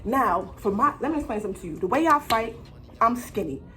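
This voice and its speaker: Highish-voiced young New woman telling stories. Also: expressive, fairly quick, bright, forceful tone, a little hiss or hum. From United States. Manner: emphatic, dramatic, profane, repeats phrases for effect. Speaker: vlogger. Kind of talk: animated casual storytime, slangy.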